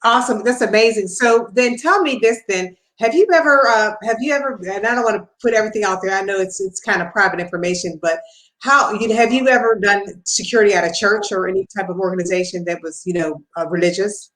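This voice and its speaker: A woman's voice: medium pitch, quick pace, fairly bright tone, echoey room, low-quality recording. A woman hosting an interview, asking long, rambling questions with many restarts. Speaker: host.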